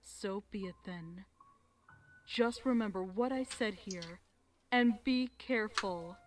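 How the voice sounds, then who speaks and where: A woman voicing a gentle, older royal mentor pony in a fan story reading, speaking in a measured, formal, motherly way. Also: mid-range voice, close to an everyday voice. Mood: grave, caring. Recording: music behind the voice.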